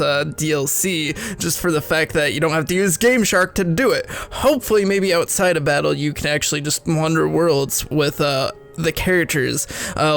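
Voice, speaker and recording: A man's voice: a little high, a bit bright. A young man with a cold, rambling through casual gaming commentary and laughing often at himself. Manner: rambling, laughs often, self-deprecating asides. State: sick, voice rough. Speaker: gaming video creator.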